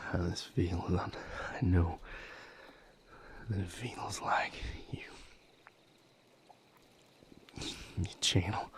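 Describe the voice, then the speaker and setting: Casting: male actor as tormented lover for romance audio drama, deep and hushed, very slow, halting. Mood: pleading, soothing. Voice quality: breathy, gravelly whisper. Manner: halting, hushed, fraught delivery with long pauses. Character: anguished male lover comforting and seeking comfort, vampire romance. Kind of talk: intimate audio roleplay, dramatic acting.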